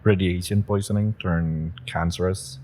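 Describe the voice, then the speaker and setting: A man's voice: low-pitched, steady tone, measured pace, a little mellow, a little hiss or hum. A man playing an eager, awkward young male super-powered rookie, rambling with nervous laughs and many fillers. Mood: hurt. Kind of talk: audio roleplay acting.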